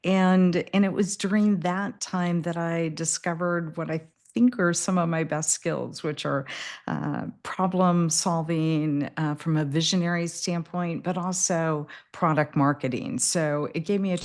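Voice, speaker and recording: A woman's voice: fairly low pitch, fairly even intonation, a little slow, darkish tone. A middle-aged woman from the American Midwest, answering interview questions in a thoughtful way, with many fillers and repeated words. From Midwest US, Indiana. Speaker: podcast guest.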